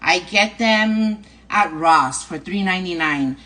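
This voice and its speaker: A woman's voice: fairly low pitch, takes it slowly, pushed and bright. A middle-aged woman from New York with a New York accent, chatting casually as she hosts a live stream of advice, often tagging her sentences with "right?" and "okay?". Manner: chatty, repetitive, tags lines with "right?" and "okay?". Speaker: host.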